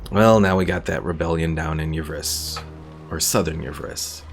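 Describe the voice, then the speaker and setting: A man's voice: a bass voice, moderate pace. A man giving casual live gameplay commentary, thinking aloud and chatting with his viewers as he plays. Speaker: gaming streamer. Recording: game music behind the voice. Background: video game music.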